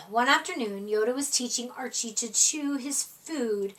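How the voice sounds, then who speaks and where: A woman with a high-pitched voice reads a children's story slowly and dramatically. She stretches key words for young listeners in an animated storytime delivery. The voice sits a little way from the mic. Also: distant mic, low-quality recording. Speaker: storyteller reading a children's picture book. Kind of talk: expressive children's storytime read-aloud.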